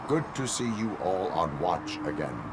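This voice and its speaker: A stoic cyborg policeman from a game, speaks in a very deep register. His delivery is clipped, formal and deadpan, with noticeable ambient noise behind him. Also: normal speed. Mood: flat, resolute. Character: stoic cyborg police officer, male, law-bound and formal. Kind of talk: video game cutscene acting.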